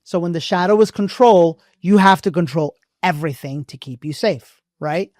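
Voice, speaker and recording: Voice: medium pitch, moderate pace, a little mellow, no room sound, studio quality. A podcast co-host who speaks bluntly and emphatically, swears freely and uses a preachy, motivational delivery. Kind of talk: casual relationship podcast chat.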